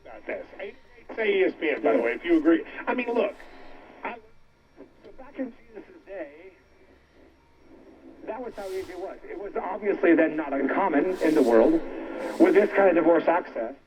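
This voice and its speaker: A man's voice: high-pitched, expressive, moderate pace, long pauses, rough recording. A man in conversational radio talk, played through a vintage radio set. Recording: old radio playback, crowd-like babble behind.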